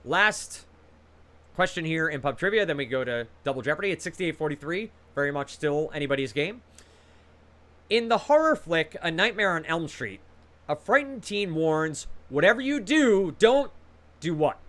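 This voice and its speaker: A man's voice: fairly high pitch, lively intonation, moderate pace, a bit bright. A man hosting a trivia quiz over a video call, reading out questions with casual, encouraging banter between them.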